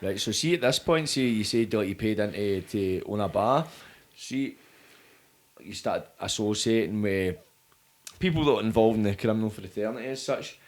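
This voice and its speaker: A man's voice: lowish voice, animated, normal speed, a little hiss or hum. A man with a Scottish accent, hosting a podcast with casual interview questions. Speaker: podcast host.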